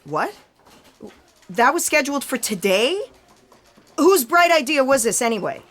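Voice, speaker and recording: An actress playing a bossy, exasperated editor-in-chief in a comic sci-fi audio play, with an imperious, theatrical manner. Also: female voice, high-pitched, very animated, measured pace, fairly bright tone, exaggerated voice.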